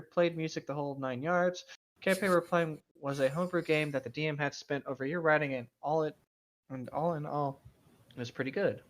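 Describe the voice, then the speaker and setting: A man casually reading stories aloud and bantering as a co-host, blunt and joking, on a lo-fi recording. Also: on the high side. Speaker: co-host reading online tabletop gaming horror stories. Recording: dull, lo-fi microphone sound.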